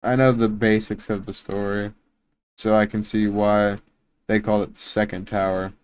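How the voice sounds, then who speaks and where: A man hosts a reaction video with casual, unscripted commentary. His voice is fairly low, very smooth and clear. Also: flat delivery, normal speed, a little mellow. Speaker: reaction video host, YouTuber.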